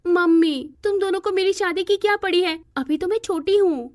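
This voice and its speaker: Woman voicing high, girlish, protesting young woman. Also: normal speed, darkish tone, far from the mic. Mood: playful protest. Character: bubbly, reluctant young college-age granddaughter in a moral cartoon.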